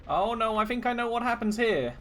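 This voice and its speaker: A man's voice: very high-pitched, expressive, a little fast, a bit bright. A young man streaming his first run of a game, chatting casually and joking at his own expense. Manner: playful, jokey, self-mocking. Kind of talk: casual gameplay commentary.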